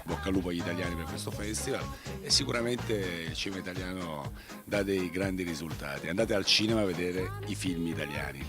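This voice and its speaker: A man giving a halting interview answer, rephrasing as he goes. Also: low voice. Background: music.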